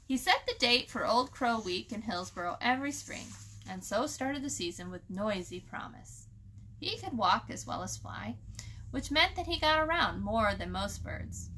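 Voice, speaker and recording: A woman storyteller reading a children's book aloud in an expressive narrating style. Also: a little high, a little hiss or hum, rough recording.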